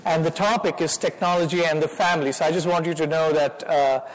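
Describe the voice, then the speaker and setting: A middle-aged man from India with an Indian accent gives a modest, halting church talk full of fillers. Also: a little high, steady tone. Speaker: guest speaker. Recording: hall reverb.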